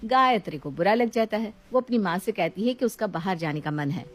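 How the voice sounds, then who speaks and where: A woman's voice: mid-range voice, animated, a little fast, no echo. A woman storyteller reading scripted narration of a moral family story.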